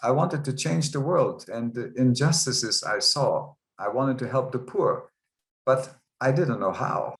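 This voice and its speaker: A man recounts his life in an earnest, measured way. His voice sits at an ordinary pitch, and it rings in a roomy, echoey space. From Germany. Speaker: guest. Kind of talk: interview storytelling. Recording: roomy echo.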